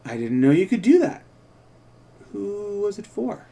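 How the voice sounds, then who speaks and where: A man reads the part of a curious young boy in an audio drama. He asks things plainly and a little skeptically, in a fairly high male register. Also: big pitch swings, unhurried, creaky, warm tone, distant mic, heavily acted.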